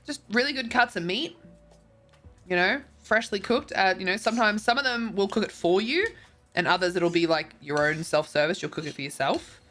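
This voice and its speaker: A woman's voice: mid-range voice, normal speed, fairly bright tone, faint music behind. A tired, congested woman, chatting casually as a streamer and reading viewer messages, rambling with lots of ums and likes.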